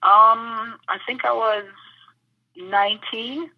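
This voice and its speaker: Woman from the british virgin islands, lots of ums and pauses, muffled lo-fi sound. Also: on the high side, steady tone. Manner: thoughtful, with many pauses and fillers. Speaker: interviewee. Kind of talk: casual interview answers.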